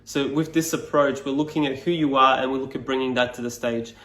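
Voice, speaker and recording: A Serbian-native man talks to camera as a speaking coach, musing aloud with lots of fillers and restarts. His pitch stays fairly level throughout. The room gives his voice a clear echo. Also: steady tone, brisk, a bit bright. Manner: reflective, loose, many fillers and restarts. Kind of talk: casual explainer to camera.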